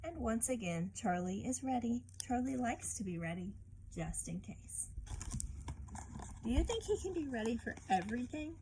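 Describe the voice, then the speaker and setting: A woman reading a picture book aloud and teaching children informally, expressive and often turning to the listener with questions. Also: on the high side, moderate pace, background noise.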